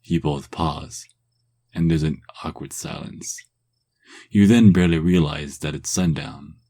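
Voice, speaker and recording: A man narrating a second-person romance story in a calm ASMR style, drifting at times into hushed whispers. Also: extremely low pitch, a little slow, husky.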